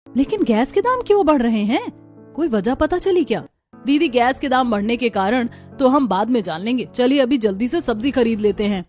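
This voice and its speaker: A woman's voice: fairly high pitch, animated, normal speed, darkish tone, soft background music, natural voice. A woman voicing village women of a poor household in a cartoon story. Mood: concerned. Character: village women of a poor family, daughters-in-law and mother-in-law.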